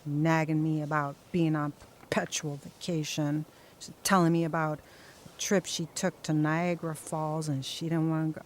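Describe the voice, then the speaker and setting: A woman's voice: sits low, level tone, moderate pace, a little hiss or hum, lightly acted. A woman playing a wry, weary wife. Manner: dry, sarcastic, often whispering. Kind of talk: audio drama acting.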